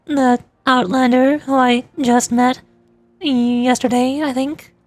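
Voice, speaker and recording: A woman's voice: high voice, level tone, slow. A young woman streamer chatting in a playful, teasing way and laughing often. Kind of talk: casual chat while voicing game lines.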